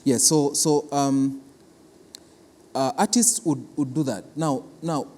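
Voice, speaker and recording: A man's voice: medium pitch, fairly even intonation, takes it slowly, mellow, slight background noise. Kenyan man explaining in a reverberant hall. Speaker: cultural centre official, interview guest. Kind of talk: explanatory interview answers.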